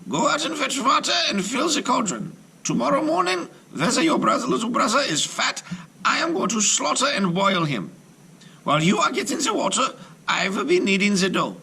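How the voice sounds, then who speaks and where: A man voicing a gnarled, greedy old witch in a high, crackly voice for a read-aloud storybook. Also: wildly swooping pitch, normal speed, gravelly, pushed and bright, slightly rough, exaggerated voice. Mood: commanding.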